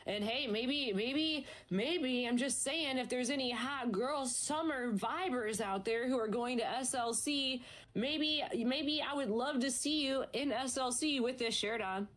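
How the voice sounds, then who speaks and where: A woman's voice: sits high, unhurried, a bit bright. A woman casting an esports stream, chatting in a bubbly, upbeat way with her co-caster. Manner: bubbly, chatty, promotes merch. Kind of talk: casual esports stream commentary.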